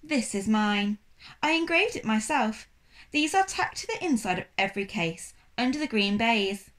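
A woman voicing a polite, paunchy French shopkeeper in a children's storybook, with a French accent and measured, courteous phrasing. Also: a little high, expressive, a bit bright. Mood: matter-of-fact.

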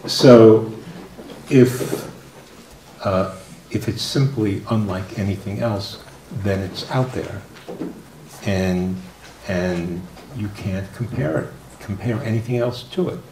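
An older man speaking softly as a guest on a discussion panel, halting with many fillers and restarts. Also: lowish voice. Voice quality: soft-spoken. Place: formal room with audience. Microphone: table microphone. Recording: roomy, distant mic, faint noise.

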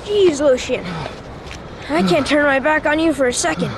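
A tough, bossy companion played by a woman gives urgent commands in a very high, strained pitch. The radio drama scene has loud engine noise around the voice. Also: normal speed, natural voice. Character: tough, bossy companion urging a wounded friend onward. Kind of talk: audio drama acting.

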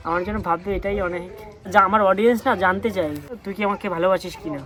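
A young man talks extremely fast in a casual phone chat. He teases and presses, circling back to the same question. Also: high voice, fairly even intonation, bright, forceful tone. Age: young adult. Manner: teasing, insistent, repeats questions. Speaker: prank video creator.

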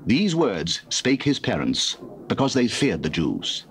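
A man's voice: medium pitch, huge pitch swings, measured pace, a little hiss or hum. A man giving scripted scripture narration on an old cassette recording.

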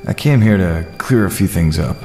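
A man's voice: low-pitched, expressive, normal speed, gravelly, a little mellow. A man playing an earnest, troubled man with a secret in an audio drama. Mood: earnest, hesitant. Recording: roomy echo, faint music.

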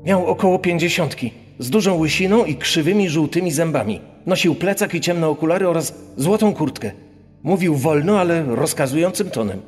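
A young male tourist recalling an attack he survived. His voice is fairly high and grave, set in long reverb with music underneath. It is dramatized testimony for an audio drama. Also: fairly quick, darkish tone, husky. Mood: grave recollection.

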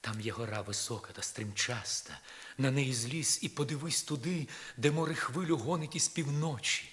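A man's voice: medium pitch, moderate pace, a bit bright, natural voice. Guy doing a lovesick reckless knight, mid voice, declaiming poetry all impassioned, vinyl rip sound. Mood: pleading. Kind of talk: dramatic poetry reading.

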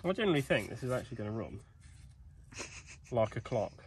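Young guy, casual self-talk vlog, average pitch, noisy night air behind. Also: big pitch swings, brisk. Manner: chatty, cheeky, thinking aloud while working. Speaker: vlogger mechanic. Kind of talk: casual workshop narration. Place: outdoors at night by an old digger.

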